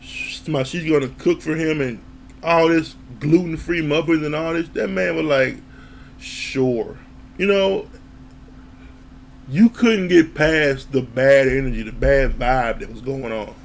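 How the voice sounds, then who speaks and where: Animated man recapping a reality show. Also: fairly high pitch, measured pace, gravelly, slight background noise. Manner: animated, laughs, acts out quoted lines, swears. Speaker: reality TV reviewer. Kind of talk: casual recap commentary.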